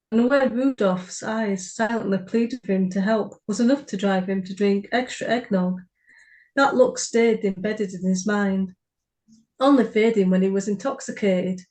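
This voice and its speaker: A woman's voice: mid-range voice, dark tone, low-quality recording. A woman co-hosting a writers' readaround, reading her own short fiction and chatting informally. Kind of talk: story reading and informal chat.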